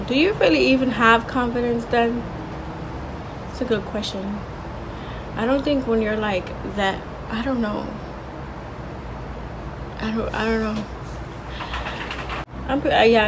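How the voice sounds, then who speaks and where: A young woman in her twenties talking casually in a vlog, with a soft, low voice and a slangy, rambling way of talking full of restarts. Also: noisy background. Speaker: vlogger.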